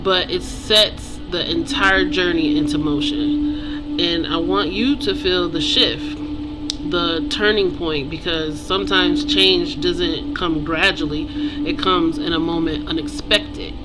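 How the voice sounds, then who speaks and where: An author speaking reflectively and earnestly to viewers in short, halting phrases with pauses. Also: mid-range voice, poor audio. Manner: earnest, inspirational. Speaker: author and creator introducing own book. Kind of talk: personal, reflective talk to viewers about own book. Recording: loud music behind the voice. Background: music.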